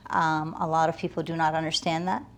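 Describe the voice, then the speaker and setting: A woman's voice: deep voice, level tone, fairly quick, slight background noise. A woman giving reflective, earnest interview answers in a conversational way, often building emphasis with short listed phrases. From United States, moved from Afghanistan as a toddler. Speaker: interview guest, realtor.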